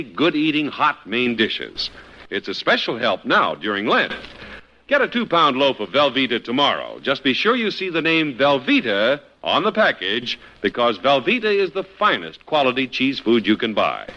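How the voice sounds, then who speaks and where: A man's voice: mid-range voice, pushed and bright. A man announcing on 1950s radio with a polished, emphatic sales delivery. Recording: dull vintage 1950s radio recording.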